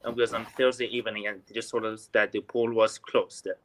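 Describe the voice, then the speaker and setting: A man from Iran with an Iranian accent, calling in to practise English and often pausing on "you know". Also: fairly low pitch, little pitch movement, over a noisy crowd, low-quality recording. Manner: hesitant, many "you know" fillers. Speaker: learner calling in. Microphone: remote call audio.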